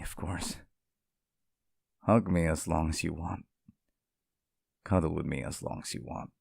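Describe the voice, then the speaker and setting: A man's voice: low voice, creaky, darkish tone, no echo, clean studio sound, full character voice. A man acting out a devoted, possessive demon in an intimate ASMR roleplay, sweet and tender with an undercurrent of menace.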